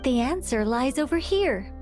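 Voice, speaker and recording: Composed game mage: higher register, measured formal exposition, background music. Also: female voice, lively intonation. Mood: calm explaining. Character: composed, knowing female mage who explains magic. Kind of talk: video game voice acting.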